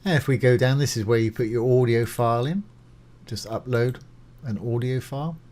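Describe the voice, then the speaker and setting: A man with a mid-pitched voice presents a screencast tutorial in an informal, conversational way. He talks through each step as he does it, keeping things reassuring and simple. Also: normal speed, a little mellow. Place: voice-over of a screen recording.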